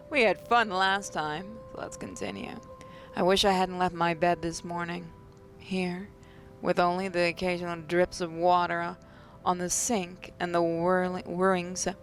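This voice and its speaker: A woman game host reading visual novel narration aloud in a measured way, occasionally stumbling. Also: lowish voice, a little hiss or hum. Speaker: let's play host. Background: music.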